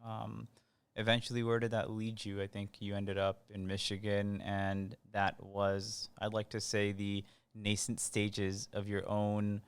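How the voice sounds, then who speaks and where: Upbeat interviewer with a smooth low voice. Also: male voice, completely flat delivery, a little slow. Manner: friendly, upbeat introducing and questioning. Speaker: interviewer, host of the series. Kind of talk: interview hosting.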